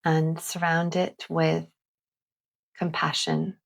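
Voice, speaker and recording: A woman leading a calming guided visualisation in a gentle, deliberate manner with long pauses. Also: sits low, gravelly. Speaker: guided meditation host.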